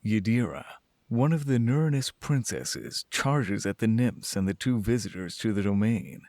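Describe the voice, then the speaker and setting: A man's voice: lowish voice, animated, measured pace, darkish tone, dry recording. A man narrating a scripted fantasy audio drama as storyteller.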